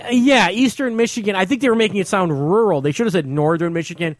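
An American man with a fairly high-set voice, recorded dry with little room sound. He talks conversationally as a show co-host, repeating himself and circling back as he goes. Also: fast, no room sound. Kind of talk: casual chat about television.

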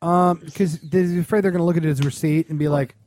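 A man's voice: a little high, fairly even intonation, fairly quick, darkish tone. An American man co-hosting a podcast, bantering casually with a sardonic, wisecracking manner. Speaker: podcast co-host.

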